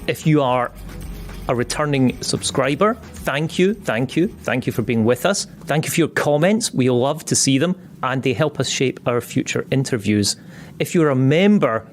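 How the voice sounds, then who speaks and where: A man's voice: medium pitch, lively intonation, moderate pace, soft background music. A man with a Scottish accent hosting a podcast interview, chatty and joking, with some repeated false starts. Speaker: podcast host.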